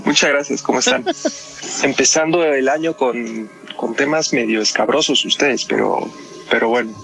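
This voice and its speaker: A man's voice: mid-range voice, normal speed, gravelly, a bit bright, soft background music, rough recording. A man with a Mexican accent explaining things conversationally as a podcast guest, often ending phrases with questioning tags.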